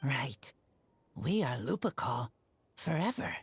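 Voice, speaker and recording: Feral teenage game boy, very low husky voice, slow halting speech, earnest and loyal. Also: big pitch swings, exaggerated voice. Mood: sincere. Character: earnest wolf-raised teenage boy, loyal and simple-spoken. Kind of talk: video game voice acting.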